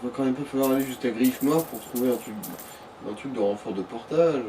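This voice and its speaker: A man with a mid-pitched voice chats casually alongside a video game, offering advice to the player. He sounds distant from the microphone, with faint noise on the recording. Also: brisk. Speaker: gaming companion giving advice. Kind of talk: casual chat during gameplay.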